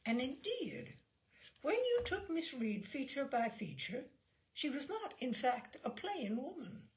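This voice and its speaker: Animated, slightly high-voiced woman storyteller. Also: a little slow. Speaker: storyteller reading aloud. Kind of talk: expressive literary audiobook narration.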